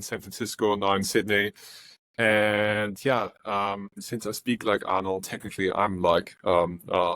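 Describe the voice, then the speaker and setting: A man's voice: lowish voice, a bit bright, no room sound. A man from Austria with an Austrian German accent, a startup founder answering interview questions earnestly, with many ums and uhs. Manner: earnest, with frequent um and uh.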